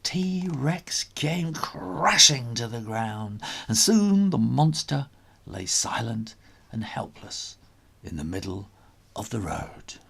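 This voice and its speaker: A man reads a children's adventure story aloud with dramatic expression. His voice is husky and swings widely in pitch as he takes his time over each phrase. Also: big pitch swings, slow pace, fairly bright tone. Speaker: children's author reading own story.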